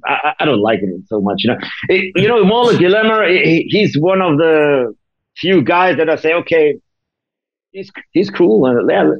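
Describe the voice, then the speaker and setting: A man with a German accent, a guest producer chatting haltingly in an interview, laughing often. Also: a little high, expressive, dry recording, highs cut off. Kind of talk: casual interview.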